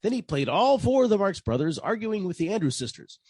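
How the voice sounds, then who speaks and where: An actor playing a weary, sarcastic private detective with a husky voice and an exasperated manner, in a 1940s style radio comedy. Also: male voice, high-pitched, sing-song, a little fast, a little mellow, no room sound, exaggerated voice. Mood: wry narration.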